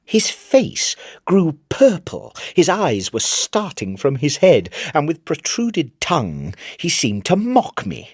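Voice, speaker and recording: An actor playing a gleeful, vengeful madman confessing his crime in a dramatic first-person literary reading, theatrical and swinging between hushed and fierce. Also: fairly high pitch, wildly swooping pitch, slow, hardly any pauses, husky, no room sound, studio quality, heavily acted. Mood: frenzied, triumphant.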